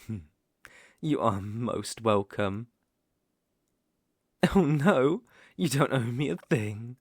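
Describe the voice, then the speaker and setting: A man's voice: medium pitch, expressive, no echo, clean studio sound. Man acting as courtly, sinister British werewolf. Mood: courtly, sympathetic. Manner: courtly, formal, measured, insinuating. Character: smooth-talking sinister male werewolf posing as protective gentleman. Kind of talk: ASMR roleplay audio acting.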